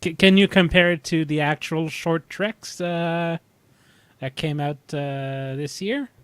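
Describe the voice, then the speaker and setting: A man's voice: fairly high pitch, takes it slowly, a bit bright, clean tone, dry recording. A man co-hosting a podcast over an internet call, chatting casually and informally. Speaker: podcast co-host. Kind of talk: casual chat.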